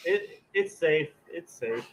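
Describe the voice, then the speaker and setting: A man's voice: a little high, lively intonation, over a noisy crowd. A man with a nasal voice co-hosting a podcast in casual chat, often stumbling over the start of his sentences. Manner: hesitant, halting sentences. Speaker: co-host.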